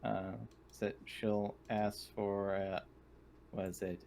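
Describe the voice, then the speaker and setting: Halting man, faint noise behind. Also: lowish voice, flat delivery, mellow. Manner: halting, searching for words. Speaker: tabletop game player. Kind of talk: casual in-game chat.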